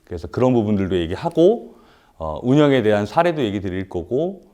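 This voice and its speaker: Animated man lecturing with a throat cold. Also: fairly low pitch, brisk. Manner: animated, with rhetorical questions and frequent "uh" fillers. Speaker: lecturer at a paid business seminar. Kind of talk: live seminar lecture to an audience. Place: indoor lecture room with an audience.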